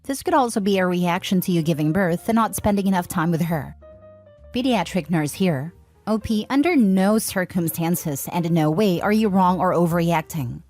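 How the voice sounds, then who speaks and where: Woman narrator, low voice, steady read-aloud, soft music underneath. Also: moderate pace. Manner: even, steady reading of written posts. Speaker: narrator reading forum posts. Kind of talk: scripted narration. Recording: faint background music.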